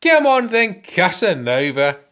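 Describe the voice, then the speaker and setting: Easygoing old pal , acted by a man, slow and lively-pitched, mild-mannered joker for a radio drama. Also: clear, resonant tone, heavily acted. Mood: teasing. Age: older. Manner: mild, hesitant, chummy. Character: amiable, placid old male care-home resident, joking peacemaker. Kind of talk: amateur radio play acting.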